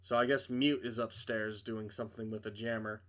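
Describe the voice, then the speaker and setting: A man's voice: on the low side, level tone, normal speed, a bit bright. A man narrating a visual novel aloud in a dramatic read-aloud style as he plays it. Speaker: video game player and narrator.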